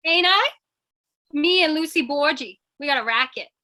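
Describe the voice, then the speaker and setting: A woman playing a quick-witted, scheming captive in a radio play, theatrical and persuasive. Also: high-pitched, expressive, sharp tone. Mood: brazen, seductive. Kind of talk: 1940s radio comedy-thriller acting.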